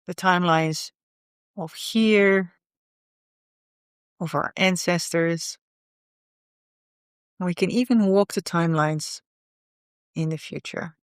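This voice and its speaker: A woman leading a guided meditation in a soothing, unhurried way, often pausing in the middle of phrases. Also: fairly low pitch, clean tone, no echo, studio quality.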